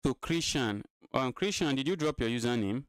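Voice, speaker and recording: A man's voice: medium pitch, expressive, normal speed, no echo, low-quality recording. A man in his twenties giving casual live-stream chess commentary, talking to his viewers and repeating his own phrases. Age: 20s.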